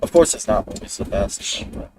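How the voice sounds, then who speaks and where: Man rambling while driving, road noise. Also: moderate pace. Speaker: storm chaser streaming live. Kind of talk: casual driving commentary. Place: inside a car on the road. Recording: distant in-car mic with road noise.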